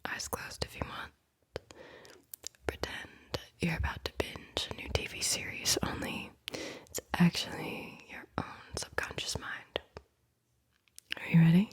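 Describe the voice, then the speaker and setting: ASMR host: woman, low breathy whisper, playful and teasing, close mic. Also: level tone, a little slow, pauses often. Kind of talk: whispered ASMR guided visualization.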